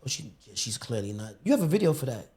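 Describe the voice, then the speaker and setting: A male talk-show host with a mellow, relaxed tone chats casually with his guest. His questions ramble in a colloquial, easygoing way. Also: medium pitch, quick pace. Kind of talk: casual interview chat.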